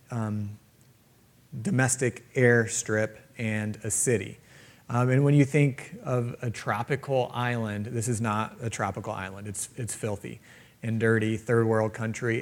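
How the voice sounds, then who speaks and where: A man speaking casually to a church group about mission life, plainspoken with some fillers. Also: medium pitch. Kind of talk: church presentation talk.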